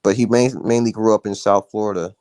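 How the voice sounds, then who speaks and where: Hesitant low-voiced man. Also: steady tone, fairly quick, darkish tone, no echo, studio quality. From born in Nigeria, raised in Texas. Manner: hesitant, many fillers and restarts. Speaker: podcast co-host. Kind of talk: casual boxing talk.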